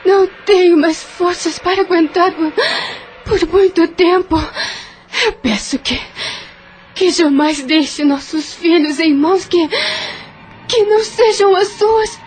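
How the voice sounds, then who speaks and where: An actress in an audio drama playing a weak, dying young mother, tender but resolute, speaking haltingly with a breathy, faint voice. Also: very high-pitched. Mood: tearful pleading. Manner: halting, slow, earnest.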